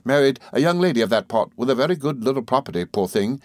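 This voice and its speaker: A man voicing a meek, timid middle-aged country doctor who speaks slowly and apologetically, stammering and adding sir to nearly every phrase. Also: medium pitch, expressive, no echo, voice pushed up, close to an everyday voice. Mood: meek, fluttered. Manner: slow, apologetic, hesitant, with deferential sirs.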